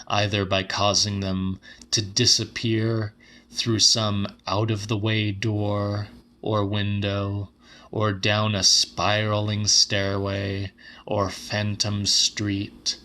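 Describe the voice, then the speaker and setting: A man narrating literary horror as a dramatic audiobook, measured, deliberate and grave. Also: lowish voice, monotone, sharp tone.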